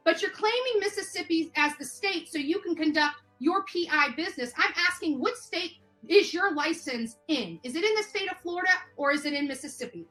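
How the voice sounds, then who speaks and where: A woman's voice: very high-pitched, pushed and bright. A woman confronting someone with insistent, accusatory, repetitive questions on a phone call. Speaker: interviewer confronting a man by phone.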